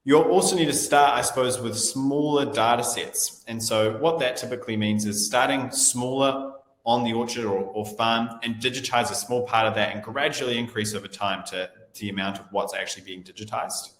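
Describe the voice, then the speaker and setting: A man's voice: medium pitch, moderate pace, few pauses, fairly bright tone. A man hosting a webinar, talking loosely and hedging with repeated "I suppose". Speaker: webinar host. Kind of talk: webinar presentation. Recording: echoey room with long reverb.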